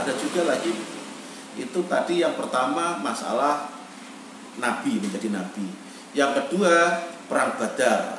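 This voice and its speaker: A man delivers an Islamic sermon at a middling pitch. He speaks like a deliberate orator, stressing and repeating key phrases. The sound is very echoey and noticeably distant, like an online meeting. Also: background noise. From Indonesia. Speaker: Islamic preacher.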